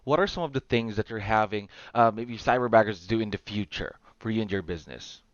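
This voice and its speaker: A man's voice: fairly low pitch, brisk, no room sound, highs cut off. A man hosting a podcast interview, upbeat and encouraging, often repeating his phrases. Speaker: podcast host. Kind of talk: interview questions.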